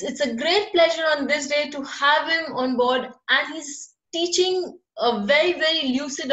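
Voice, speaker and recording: A woman's voice: high-pitched, fairly bright tone. A woman hosting her channel on a video call, introducing a guest effusively and asking questions with repeated words. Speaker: channel host. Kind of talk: warm introduction and interview questions. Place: home room with bookshelves, video call. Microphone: video call webcam. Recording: echoey, dull video-call audio.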